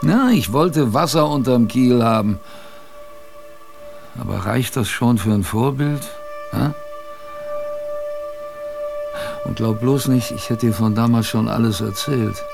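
An actor playing a gruff but fond old sailor grandfather, telling his memories in a salty, colloquial radio-play manner. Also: mid-range voice, over music. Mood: grumbling, fond. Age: older. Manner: colloquial, salty storytelling with musing pauses. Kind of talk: radio drama first-person narration.

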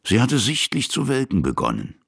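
An actor playing a gruff, kindly old army officer who tells his tales colloquially and vividly in a radio play. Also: fairly low pitch, wildly swooping pitch, moderate pace, a bit bright, full character voice. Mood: reflective narrating. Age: older. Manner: colloquial, vivid, dramatic storytelling.

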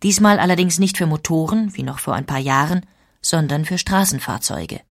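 A woman tells a historical story from a script, clear and composed. Her voice sits somewhat low for a woman and is recorded dry, with no room sound. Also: normal speed, dry recording. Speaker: narrator. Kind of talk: scripted documentary narration.

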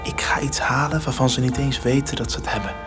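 An actor playing a daring teenage son in a radio drama, terse, calm and resolute. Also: male voice, mid-range voice, fast, lightly acted. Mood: hushed, resolute. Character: cool, determined teenage son, daring young burglar. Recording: music behind the voice.

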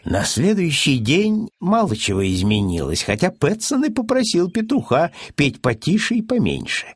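A man's voice: fairly high pitch, wildly swooping pitch, normal speed, husky, dry recording, clean studio sound. A man reading a children's story audiobook as its narrator.

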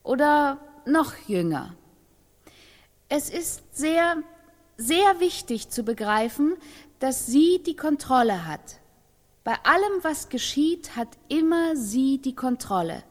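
An actress in a radio play, playing a woman who delivers a careful, measured testimony as if prompted, in a reverberant space. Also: high voice, very animated, full character voice. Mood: measured, assured. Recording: long reverberant hall.

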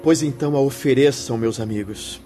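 An actor playing a kindly, earnest spirit mentor in a radio drama, speaking in solemn, formal exhortation. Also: male voice, mid-range voice, a little fast, warm tone, faint music behind, lightly acted.

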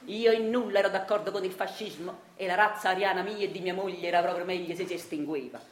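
A woman's voice: lowish voice, steady tone, fast talker, natural voice. A woman playing a meek, henpecked, middle-aged Neapolitan clerk in a theatrical radio monologue, telling a resigned and self-pitying tale. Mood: bitter, confiding. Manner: rueful, self-pitying storytelling. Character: meek henpecked Italian clerk of forty-seven, resigned and wistful. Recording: roomy echo, faint noise.

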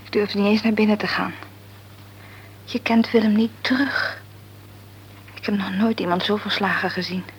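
A woman playing an upset young mother in a radio play, speaking hushed and breathy. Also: mid-range voice, steady tone, normal speed, long pauses, muffled recording, a little hiss or hum, close to an everyday voice.

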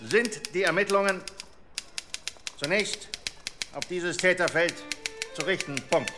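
A high-pitched male voice as an officious police inspector in a radio play, dictating in clipped, condescending phrases. Music runs clearly behind him. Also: slow pace. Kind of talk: German radio drama acting.

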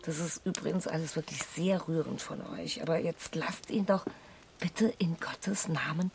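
A woman's voice: low voice, normal speed, gravelly, a little mellow, a little hiss or hum. An actress playing a stressed, sarcastic woman in her forties in a naturalistic radio drama, dry and nagging. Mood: irritated. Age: middle-aged.

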